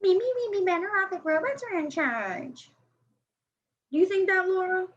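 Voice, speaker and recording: A woman's voice: very high voice, expressive, normal speed. A woman playing a blunt, slangy, defensive fourteen-year-old girl skateboarder in a staged play reading. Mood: guarded, curious. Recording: dull lo-fi recording.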